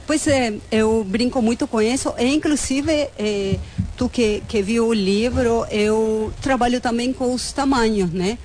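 A woman with an Argentine accent, a native Spanish speaker, speaks as an invited poet, laughing easily and pausing to find her words. Her voice is somewhat high, with a little noise behind her. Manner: laughing, hesitant, lively. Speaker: poet guest.

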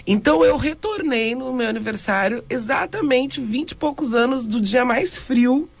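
Gravelly-voiced woman from Porto Alegre. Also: high-pitched, normal speed. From Porto Alegre, Brazil. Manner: lively storytelling, laughs easily. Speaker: guest, DJ and cultural producer. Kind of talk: conversational interview. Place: radio studio.

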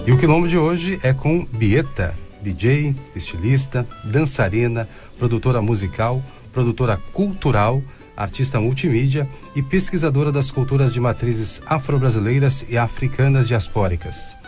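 A man's voice: mid-range voice, expressive, darkish tone, faint music behind. Southern Brazilian male radio host. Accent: southern Brazilian (Rio Grande do Sul). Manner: friendly, measured, hesitant restarts. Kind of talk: radio interview. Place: radio studio.

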